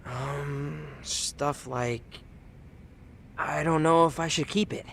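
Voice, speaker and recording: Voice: mid-range voice, steady tone, slow, creaky, fairly bright tone, slight background noise. An actor playing a cheeky but earnest teenage boy in a video game dub, talking in offhand, youthful slang. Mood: subdued, matter-of-fact.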